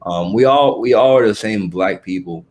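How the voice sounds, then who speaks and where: Halting young man, lowish voice. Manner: halting, with frequent restarts and fillers. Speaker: guest. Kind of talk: casual conversational interview.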